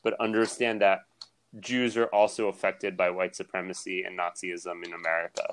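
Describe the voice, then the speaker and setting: A young man with a fairly low voice talks through his views in a casual podcast conversation. He is earnest and rambling, restarting his sentences often. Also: little pitch movement, normal speed, a bit bright, smooth, poor audio. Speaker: podcast co-host. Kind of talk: casual political chat.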